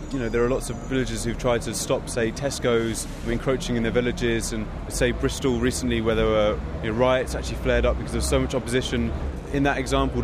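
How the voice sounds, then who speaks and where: A man at an outdoor protest camp talking quickly in a loose, conversational way. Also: mid-range voice. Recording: street noise behind.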